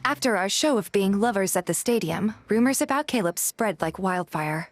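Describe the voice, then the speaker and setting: A woman's voice: mid-range voice, animated, normal speed, no room sound. A woman reading scripted first-person story narration, like an audio drama. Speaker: voice actor narrating and playing the heroine of a fan dub. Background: music.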